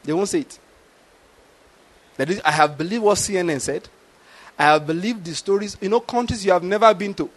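A middle-aged preacher with a notably high voice for a man. He preaches in a storytelling way, often stopping to ask the congregation whether they follow. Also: brisk, pauses often. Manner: storytelling with rhetorical questions. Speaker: pastor. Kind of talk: sermon.

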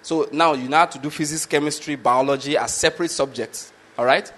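A man's voice: fairly high pitch, a little fast, fairly bright tone. A man from Nigeria with a Nigerian accent, a lecturer whose first language is Yoruba, telling a casual story with frequent chuckles and rhetorical questions to the listener. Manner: chuckling, rhetorical, often asks "you understand my point?". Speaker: medical lecturer.

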